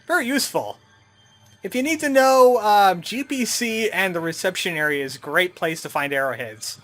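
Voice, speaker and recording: Gaming host, animated and wry, mid-pitched, commenting on play over background music. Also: moderate pace. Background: adventure game music.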